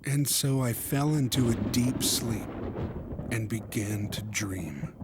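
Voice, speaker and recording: An actor playing a bewildered lost traveller, narrating a video game story in the first person. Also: male voice, mid-range voice, slow, very noisy, close to an everyday voice. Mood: subdued. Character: bewildered lost male traveller recalling his night.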